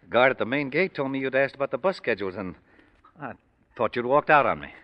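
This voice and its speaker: Actor as anxious middle-aged mine boss, swooping pitch. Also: male voice, lively intonation, quick pace, no echo, close to an everyday voice. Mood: relieved. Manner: hesitant, faltering, tense. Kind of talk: 1940s radio acting. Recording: dull vintage radio recording.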